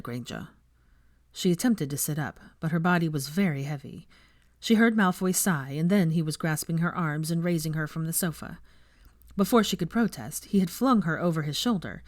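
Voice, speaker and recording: A woman's voice: low-pitched, no room sound, studio quality. A woman reading scripted story narration as an audiobook narrator. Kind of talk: scripted narration of fan fiction.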